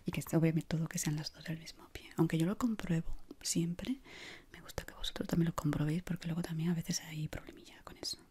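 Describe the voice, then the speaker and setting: A woman in her thirties with an accent from Spain, whispering softly and soothingly in an ASMR shop assistant roleplay, close to the mic. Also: low voice, fairly even intonation, fast talker, slightly rough. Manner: soft, soothing whispering and murmuring. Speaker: ASMR creator playing a shoe shop assistant. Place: small indoor room.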